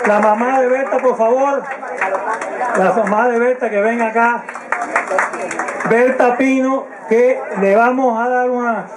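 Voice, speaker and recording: A middle-aged man organizing a reunion, handing out gifts and bossing the crowd in an informal, fussy way. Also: very high-pitched. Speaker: event organizer. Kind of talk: announcing at a reunion. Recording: loud crowd and noise in a hall.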